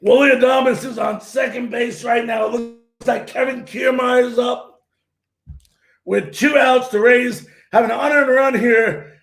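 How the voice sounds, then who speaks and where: A male sports talk show host who is losing his voice and hoarse, loud and opinionated, giving his views and calling play-by-play. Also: extremely high pitch, echoey room. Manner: loud and opinionated, often shouting.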